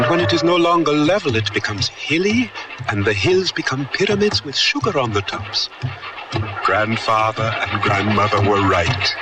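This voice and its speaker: An actor playing an earnest, wonderstruck young Liberian man, speaking in a formal, reflective way in a radio drama. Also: mid-range voice. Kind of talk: 1970s radio drama acting. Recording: distant vintage radio recording, music behind.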